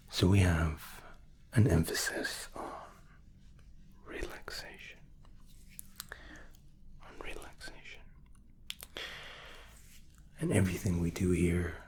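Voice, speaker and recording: A man softly explaining spa treatments in an ASMR roleplay, whispery and breathy, with a gentle, reassuring, attentive manner. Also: low voice. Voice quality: whispery, breathy, soft-spoken.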